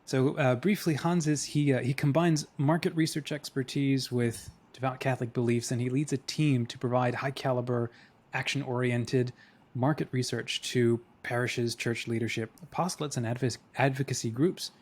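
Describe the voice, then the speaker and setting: A man's voice: mid-range voice, steady tone, moderate pace, darkish tone. A man hosting an interview show, introducing his guest in a conversational way.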